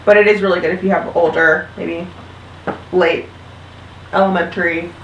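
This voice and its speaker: A woman's voice: on the low side, steady tone, normal speed, a bit bright, slight background noise. Woman, 30s, vlog host and mother, upbeat and chatty, heard from a distance in a reverberant room. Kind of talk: casual chat recommending podcasts. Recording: roomy sound, mic at a distance.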